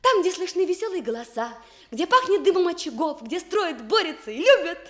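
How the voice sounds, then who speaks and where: An actress playing an eager young woman journalist, narrating a Soviet radio drama in an expressive, theatrical way. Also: very high-pitched, fairly quick. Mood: wistful, self-mocking.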